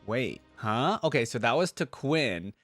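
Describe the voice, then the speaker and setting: A man's voice: medium pitch, big pitch swings, measured pace, music in the background. A man hosting a reaction video, thinking aloud in an informal, conversational way about game voice lines.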